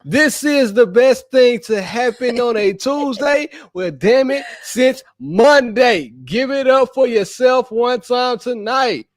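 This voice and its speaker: Hyped high-voiced man hosting a podcast. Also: slow, hardly any pauses, no echo, studio quality. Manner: playful, hyped, slangy banter. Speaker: podcast host. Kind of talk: casual chat with spouse.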